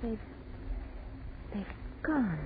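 An actress playing a tense, bossy young woman fighting down dread in 1930s radio horror, halting and sharp with commands. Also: a little high, sing-song, heavily acted. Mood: hushed dread. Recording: noisy, tinny 1930s radio recording.

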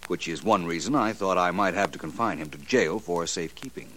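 An actor playing a suave, clever detective in a 1940s radio drama, explaining in measured tones. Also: male voice, deep voice, a bit bright. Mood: calm. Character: suave male detective, calm and cerebral.

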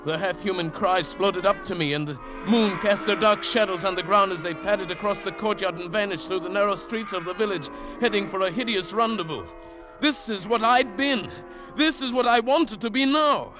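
A man's voice: sits high, moderate pace, hardly any pauses, lightly acted, poor audio. An actor playing a haunted, tormented man telling of his ordeal with witchcraft, fervent and theatrical in 1940s radio style. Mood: awed, rising fervour. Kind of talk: 1940s radio drama acting, dramatic first-person narration. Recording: old radio broadcast with loud musical backing. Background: dramatic music.

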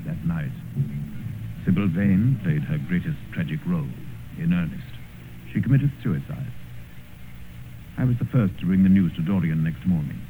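An actor playing a worldly, cynical gentleman who also narrates a radio drama in a suave, measured, eloquent way. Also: low voice, natural voice. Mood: grave. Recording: noisy, muffled vintage radio recording.

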